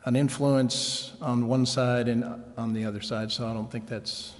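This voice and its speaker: A man speaking as a council member at a public meeting, raising a question in a halting, tentative way. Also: medium pitch, fairly even intonation. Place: indoor council chamber. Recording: reverberant meeting room.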